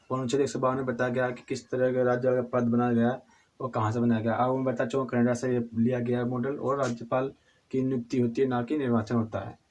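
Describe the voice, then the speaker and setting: A hoarse man with a cold teaching a civics lesson, halting and restarting often, asking questions and answering them himself. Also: mid-range voice. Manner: halting, with frequent restarts and throat clearing; addresses viewers as friends, asks and answers own questions.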